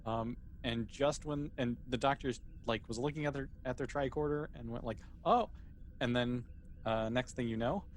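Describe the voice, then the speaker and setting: Male game master, medium pitch, casual halting improvised narration, slightly noisy recording.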